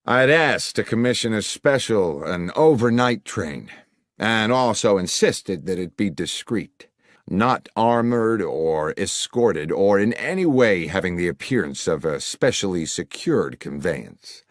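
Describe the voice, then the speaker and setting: A man's voice: on the low side, lively intonation, a little slow, no room sound, studio quality. A man voicing a formal, wordy middle-aged businessman client who is fond of puns, in an audiobook. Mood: earnest, formal. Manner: formal, long-winded, jovially self-amused. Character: anxious middle-aged businessman client, verbose, pun-loving. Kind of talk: audiobook character dialogue.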